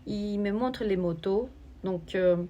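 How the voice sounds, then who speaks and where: A woman giving a spiritual oracle card reading, talking straight to the viewer in a searching, halting way. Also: medium pitch, warm tone, highs cut off.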